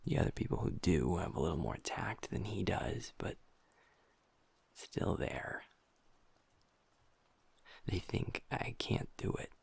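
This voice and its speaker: A man voicing a troubled young werewolf who is his pack's second in command, speaking softly and hushed, swearing and criticizing himself in an intimate audio roleplay. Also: low voice, big pitch swings, slow pace, vocal fry, long pauses, breathy edge, no room sound, exaggerated voice. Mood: frustrated. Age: young adult.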